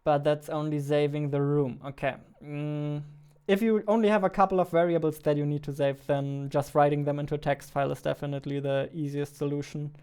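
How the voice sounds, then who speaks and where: Clear-voiced young man, even pitch. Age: 20s. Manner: mumbles to himself, halting, thinking aloud. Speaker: game developer and streamer. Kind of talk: casual livestream chat while working. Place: indoor room at a computer, keyboard clicks behind.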